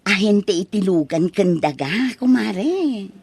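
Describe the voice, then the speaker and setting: A woman's voice: mid-range voice, expressive, a little slow, darkish tone, husky, no room sound. A woman playing a vain, money-minded young village bride-to-be in a comic radio drama, with an Ilocano Filipino accent. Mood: boastful. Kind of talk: comedic radio drama acting.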